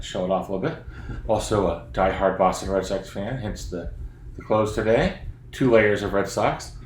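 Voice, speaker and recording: A man in his fifties, a children's book author from Florida, chatting casually and joking at his own expense from his home office. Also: on the low side. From Florida, USA. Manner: chatty, self-deprecating, joking. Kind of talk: casual chat to young readers. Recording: roomy office sound, faint background noise.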